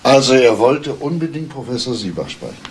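A man acting as a measured police investigator in a German crime radio drama, formal and probing. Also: medium pitch, big pitch swings, a little hiss or hum, close to an everyday voice.

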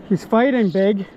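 A young man fly fishing outdoors while wading in a river, excitedly commenting on each catch into a body-worn action camera. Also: sits high, dull audio, a little hiss or hum. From Canada. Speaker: fly-fishing angler and host. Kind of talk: casual outdoor vlog commentary.

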